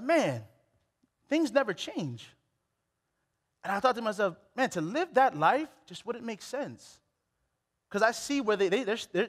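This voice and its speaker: A man in his late twenties from Hawaii, preaching a sermon on a church stage with a headset mic, animated and full of restarts. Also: high-pitched. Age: late 20s. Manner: animated, exclamatory, with many restarts. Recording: long hall reverb.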